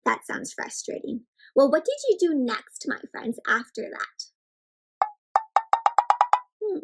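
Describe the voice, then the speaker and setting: A high-voiced woman reads a picture book aloud for children. She tells it expressively, with exaggerated gasps, and often turns to her young listeners with direct questions. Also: very animated, takes it slowly, soft background music, poor audio. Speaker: children's read-aloud host.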